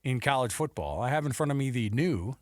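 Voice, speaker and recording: A man's voice: medium pitch, expressive, brisk, no room sound, clean studio sound. A man hosting a sports radio show with casual, teasing banter. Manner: playful, teasing.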